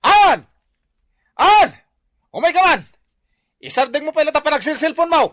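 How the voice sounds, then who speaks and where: A man playing a bossy, excitable husband and father in an Ilocano radio drama, with a commanding manner. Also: very high-pitched, moderate pace, dry recording, studio quality, heavily acted. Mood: yelling, commanding. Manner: commanding, swings from muttering to yelling.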